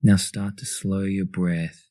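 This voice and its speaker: A man leading a guided meditation in a calm, soothing, instructional manner. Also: deep voice, slow pace, no echo, studio quality.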